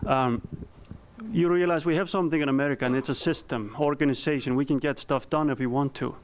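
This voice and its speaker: A man's voice: mid-range voice, slight background noise. A man from Iceland with an Icelandic accent, a company founder giving an earnest, explanatory roundtable presentation with frequent fillers.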